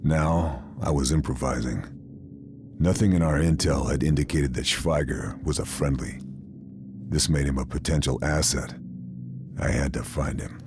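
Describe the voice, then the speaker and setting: An actor playing a grim American wartime sniper, narrating his mission in terse, deadpan game voice-over. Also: rumbling low, moderate pace, noisy background. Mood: grim resolve. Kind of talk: scripted noir-style voice-over narration.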